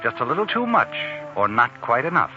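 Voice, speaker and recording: A sinister, all-knowing storyteller played by a man narrates 1940s radio suspense in an insinuating, taunting way. His pitch swings dramatically. Music plays behind him on a muffled old recording. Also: sing-song, a little fast, lightly acted. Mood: taunting, ominous.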